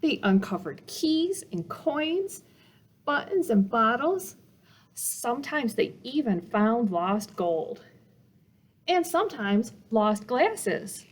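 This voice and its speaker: A woman's voice: fairly high pitch, big pitch swings, slow. A woman reading a children's picture book aloud as a storytime reader, with dramatic emphasis meant to engage young listeners.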